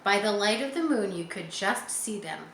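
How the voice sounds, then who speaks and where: A woman's voice: mid-range voice, expressive, normal speed, a bit bright. A woman narrating an adventure story for children, reading expressively and adding simple explanatory asides. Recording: echoey room with faint background noise.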